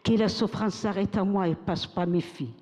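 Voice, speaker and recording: An older woman recites her own text on a stage, her voice soft and mellow. She builds rhythm by repeating lines like a chant. The sound rings with the long reverb of a big hall. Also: mid-range voice, normal speed. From Morocco. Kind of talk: spoken-word recitation to an audience.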